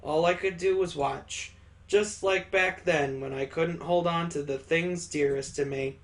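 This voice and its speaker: Male game streamer, higher-pitched voice, unhurried and dramatic story narration. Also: steady tone. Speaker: let's player reading a visual novel aloud. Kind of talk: expressive read-aloud narration.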